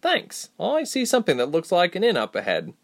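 Casting: male narrator playing frank young adventuress, lifted high voice, wry and cheeky, fantasy audiobook. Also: wildly swooping pitch, a little fast, heavily acted. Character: blunt, cheeky young woman traveller.

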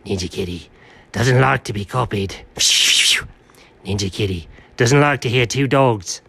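A man's voice: mid-range voice, measured pace, gravelly, bright, forceful tone, slightly rough, heavily acted. A man voicing a touchy, boastful ninja cat who sounds like a pirate, with a badly imitated British accent and a theatrical, indignant manner. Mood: indignant, threatening. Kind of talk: comic cartoon dialogue.

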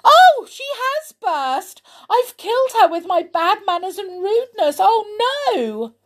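A woman's voice: medium pitch, very animated, takes it slowly. A woman voicing a naughty girl doll from a children's storybook, childish and emphatic. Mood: tearful, alarmed. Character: naughty rude girl doll, later tearfully remorseful.

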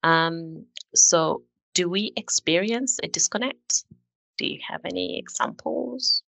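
A woman from Zimbabwe hosting a podcast panel discussion, speaking in a measured way with frequent fillers. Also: fairly low pitch, animated, creaky, sharp tone, dry recording, low-quality recording. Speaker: podcast host.

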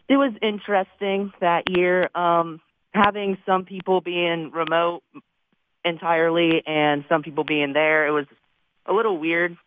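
Teenage male, American accent, clean smooth voice, hesitant student guest, thin phone line. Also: on the high side. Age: teens. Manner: hesitant, halting. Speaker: student actor, interview guest. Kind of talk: casual phone interview.

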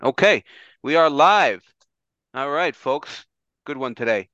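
Man, interview host, smooth resonant voice, dull lo-fi call audio, relaxed. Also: fairly high pitch, slow pace, dry recording. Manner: relaxed, fumbling for words. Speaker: podcast host. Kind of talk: casual interview.

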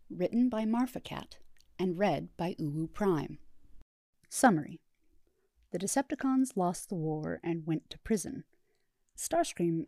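A woman reading a fan story aloud as podfic narrator, in calm storytelling. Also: on the low side, no room sound, studio quality. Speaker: podfic reader. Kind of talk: audiobook narration.

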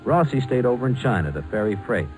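A man's voice: mid-range voice, very animated, fast talker, a little mellow, soft background music, natural voice. A man playing a breezy, confident American pilot in a 1940s radio drama. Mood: reflective narrating.

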